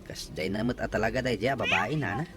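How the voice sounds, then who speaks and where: An actor playing a good-natured working husband in an Ilocano radio drama. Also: male voice, lowish voice, brisk, a bit bright, faint music behind, lightly acted. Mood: puzzled.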